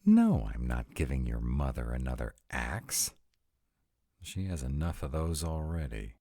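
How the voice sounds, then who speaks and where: A man's voice: a bass voice, huge pitch swings, unhurried, vocal fry, mellow, dry recording, full character voice. A man voicing a sarcastic, exasperated vampire in a scripted roleplay audio, talking to his partner with dry, emphatic delivery. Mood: exasperated sarcasm. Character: sarcastic male vampire, exasperated, anxious about in-laws.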